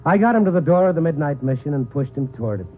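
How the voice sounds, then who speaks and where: An actor playing a world-weary, wisecracking reporter in a hardboiled 1950s radio noir, both narrating and in scene. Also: male voice, a little high, expressive, fast, lightly acted. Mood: wry, brooding. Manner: hardboiled, wry, terse. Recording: narrow, muffled 1950s radio broadcast. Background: dramatic background music.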